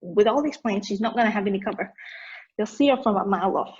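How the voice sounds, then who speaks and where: A woman reading the part of an excited amateur football commentator in a play table read, calling the action play by play. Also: mid-range voice, fast talker, husky, highs cut off. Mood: focused.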